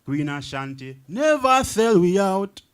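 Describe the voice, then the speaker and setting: A man's voice: sits high, very animated, measured pace, clean tone. A man, a poet guest on a radio talk show, reciting his verse in a rhythmic chant and chatting casually. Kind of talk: reciting poetry and casual chat.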